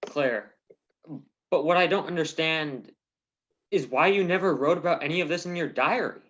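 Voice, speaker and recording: A man's voice: on the high side, normal speed, a bit bright, slight background noise, close to an everyday voice. A man playing an earnest, anguished, slightly dim husband in a radio soap opera parody. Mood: tender, then bewildered. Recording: dull lo-fi recording.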